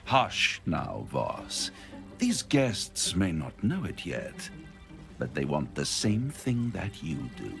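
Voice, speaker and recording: A silky game devil who makes bargains with grand theatrical relish. The voice is deep and slow, and it swoops dramatically from low to high. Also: male voice, wildly swooping pitch, vocal fry, faint music behind, heavily acted. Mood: silky, ominous. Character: suave, scheming male devil offering bargains. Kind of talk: video game cutscene acting.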